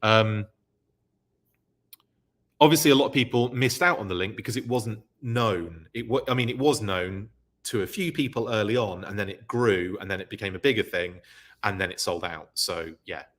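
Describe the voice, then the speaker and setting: A man's voice: lowish voice, normal speed, fairly bright tone. A man hosting a live-stream news recap for a podcast, talking casually with lots of ums and uhs while losing his voice. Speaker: podcast host.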